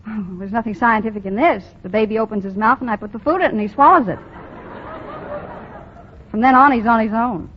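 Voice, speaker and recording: A woman's voice: a little high, brisk, long pauses, a faint crowd, natural voice. A woman as a tired wisecracking young mother. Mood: sardonic. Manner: dry, sardonic. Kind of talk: 1940s radio acting. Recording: muffled 1940 radio broadcast, faint hiss.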